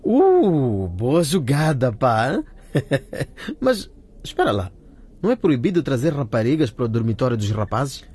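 An actor playing an excitable, teasing student friend in a radio drama, full of interjections. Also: male voice, mid-range voice, unhurried, husky. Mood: impressed, worried. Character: film-obsessed male student, reluctant but loyal friend.

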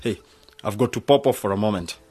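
An actor playing an excitable, nervous young man working at a radio station in a radio drama, eager and a little jittery. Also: fairly low pitch, a little fast, slightly rough.

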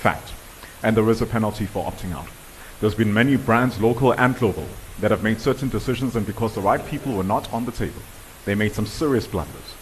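A man's voice: lowish voice, a little fast, few pauses, a little hiss or hum, rough recording. A man giving a conference talk, making firm, emphatic points to the audience. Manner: emphatic, assertive statements. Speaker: diversity workshop presenter.

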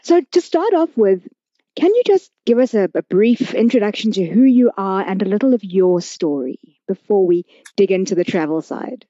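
Lady podcast host, mellow voice, dry slightly muffled recording. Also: mid-range voice, lively intonation, normal speed, no echo. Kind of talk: conversational interviewing.

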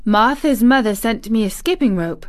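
A woman's voice: fairly high pitch, expressive, lightly acted. A woman voicing a timid, earnest little girl in a storybook, anxious and a little stiff. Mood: anxious, eager. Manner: halting, stammering when nervous.

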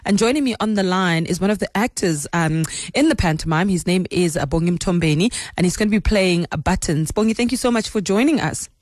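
A woman's voice: lowish voice, expressive, a little fast, hardly any pauses, no room sound, clean studio sound. A woman with a South African accent hosting a live radio interview in a conversational, affirming way. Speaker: radio host. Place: radio studio.

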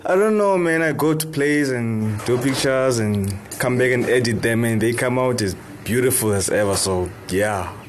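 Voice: mid-range voice, normal speed, hardly any pauses, slight background noise. Laid-back young photography intern. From South Africa. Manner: laid-back, laughs easily. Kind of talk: casual interview chat.

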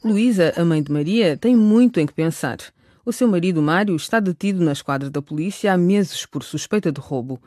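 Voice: medium pitch, brisk, warm tone, no echo, studio quality. A narrator reading a scripted recap for a radio drama.

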